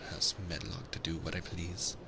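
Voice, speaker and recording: A man's voice: low-pitched, steady tone, normal speed, very noisy. A man voicing a spoiled, sickly, commanding young boy in a bedtime storybook reading. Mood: grandly commanding. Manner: grand, demanding. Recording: constant loud ocean-wave ambience behind voice.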